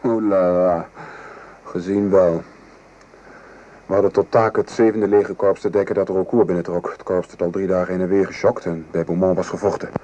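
A man playing a weary French artillery sergeant in a radio play, gravely recounting the horrors of war. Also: sits low, little pitch movement, normal speed, mellow, dull audio, close to an everyday voice. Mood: grim, appalled. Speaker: actor. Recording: noisy old radio play recording.

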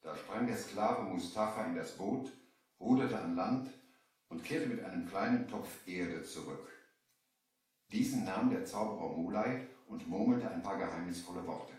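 An actor playing a merchant who narrates his sea adventure in measured storybook style, in a 1970s German audio play. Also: sits low, a little mellow, lightly acted. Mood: narrating. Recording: strong echo, distant from the mic.

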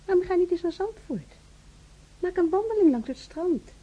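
An actress playing a kindly, motherly lady of the house, speaking gently and with concern in a radio drama. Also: very high-pitched, expressive, normal speed. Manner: gentle, solicitous. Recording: dull radio recording.

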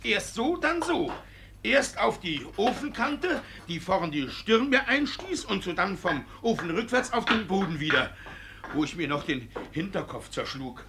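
An actor playing a shifty, evasive village judge in a German radio play, stammering and blustering through stage verse in a raspy voice. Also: male voice, sits high, big pitch swings, unhurried, background noise, full character voice. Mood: flustered, evasive. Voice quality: raspy, creaky voice. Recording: 1950s radio play recording.

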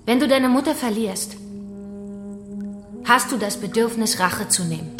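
High-voiced, composed, vengeful kidnapper. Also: female voice, lively intonation. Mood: bitter, reflective. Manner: composed, taunting, deliberate storytelling. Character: vengeful female kidnapper, controlled, taunting, bitterly righteous. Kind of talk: German radio crime drama acting. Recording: echoey room with music behind.

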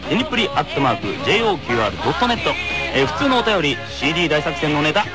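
Very animated, quick male radio co-host. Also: a little high, loud music behind the voice, over a noisy crowd.

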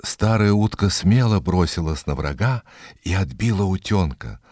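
Deep-voiced, animated male storyteller. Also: moderate pace, no room sound, studio quality. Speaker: storyteller, narrator. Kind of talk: expressive scripted story narration.

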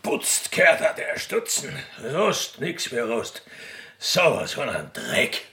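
A grumbling rustic Austrian father acted by a man in a radio play. The voice is gravelly and slow, yet swoops in pitch as he mutters, with faint music behind. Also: huge pitch swings, sharp tone, heavily acted. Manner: gruff, grumbling, muttering repetitions. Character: gruff, grumbling rustic railway guard and poaching father. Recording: music under the voice.

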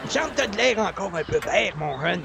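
A man acts out a folksy older Québécois joker from an old-time radio comedy. His voice is high for a man and crackles with gravel, and he talks in a teasing, colloquial way. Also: expressive, normal speed, full character voice. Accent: Quebec French.

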